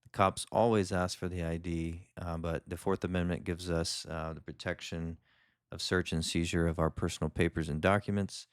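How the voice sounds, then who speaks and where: A man doing a calm legal commentary voice-over, explaining each point in a measured way. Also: low-pitched, clean tone, no room sound, studio quality. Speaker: lawyer commentator.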